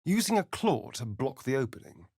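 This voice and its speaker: A man's voice: medium pitch, sing-song, normal speed, gravelly, a little mellow, breathy edge, no echo, clean studio sound, exaggerated voice. A man with a British accent playing a sly, vain vampire spawn rogue in a video game, with an arch, sardonic, theatrical delivery. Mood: measured, narrating.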